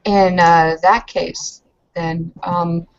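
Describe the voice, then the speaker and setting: A woman with a fairly low voice narrates her part in a roleplaying game. She improvises casually, with slangy phrasing and many hesitant fillers. The audio is slightly dull. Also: little pitch movement, very slow, gravelly, slight background noise.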